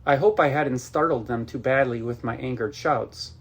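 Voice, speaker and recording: A man narrates a novel in the first person. His voice is mid-pitched with a slightly husky texture, and the delivery is clearly scripted storytelling. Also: moderate pace. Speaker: audiobook narrator.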